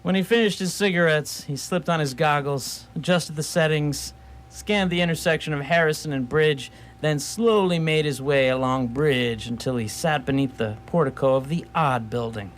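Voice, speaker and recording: A man playing a wry storyteller, narrating a scripted radio theatre story in a measured way. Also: a little high, slight background noise, lightly acted. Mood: wry narration.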